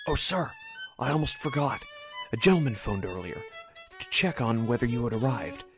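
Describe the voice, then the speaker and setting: A man's voice: mid-range voice, sing-song, normal speed, over music. A man playing a discreet, polite attendant in an old-style radio drama. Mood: deferential. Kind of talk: 1940s radio drama acting.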